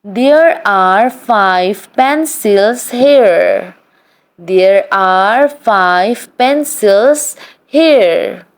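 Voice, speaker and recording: A woman reads simple practice sentences for learners, pausing and spacing every word with great care. Her pace is exceptionally slow and her pitch sits in an ordinary middle range. The delivery stays even and deliberate throughout, like a drill. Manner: deliberate, evenly spaced, each sentence read twice. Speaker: English practice reader.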